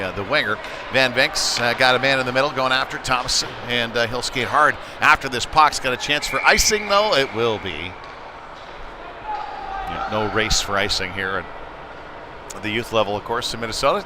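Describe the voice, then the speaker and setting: A man doing live play-by-play commentary of youth hockey in a conversational way that can rise into excitement on big plays. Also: medium pitch. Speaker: play-by-play sports broadcaster. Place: ice rink, live broadcast. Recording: noisy arena ambience behind the voice.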